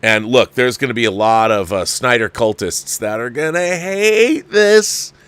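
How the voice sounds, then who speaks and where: A man's voice: mid-range voice, expressive, a little slow, a bit bright, clear, resonant tone, no room sound. A man hosting a film review livestream, chatting off the cuff to viewers in a rambling, emphatic way and often repeating his phrases. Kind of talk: casual off-the-cuff movie review chat to viewers.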